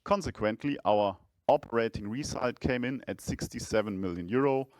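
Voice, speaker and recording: Male CFO, mid-range voice, formal measured scripted delivery for an earnings call, dry recording. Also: medium pitch, no room sound. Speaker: chief financial officer presenting results.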